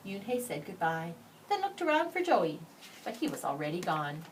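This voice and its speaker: A woman reads a children's story aloud with deliberate, emphatic phrasing. Her melody is very lively and varied. The mic is slightly distant, with faint noise behind her. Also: on the low side. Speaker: storybook reader. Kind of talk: expressive children's read-aloud narration.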